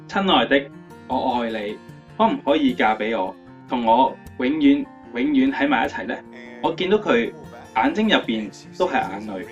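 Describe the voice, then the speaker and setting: An actor playing a cheerful, romantic young motivational speaker in a radio drama interview, playful and emphatic. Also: male voice, medium pitch, far from the mic, highs cut off, lightly acted. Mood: tender, moved. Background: guitar music.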